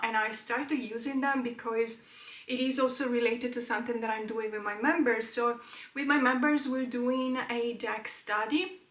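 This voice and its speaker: A woman's voice: a little high, fairly bright tone. Female tarot vlogger whose first language is Italian; chatty, filler-heavy delivery in a roomy, echoing space. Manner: chatty, rambling, many fillers. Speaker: tarot channel host. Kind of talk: casual talk to camera. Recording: roomy echo.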